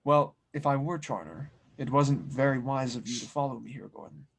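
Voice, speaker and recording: A man playing a wise, serene blacksmith mystic in an audio drama, speaking softly in slow, cryptic phrases. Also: mid-range voice, animated, gravelly, husky, full character voice. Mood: quietly knowing. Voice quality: soft, hushed. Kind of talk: fan audio drama table read.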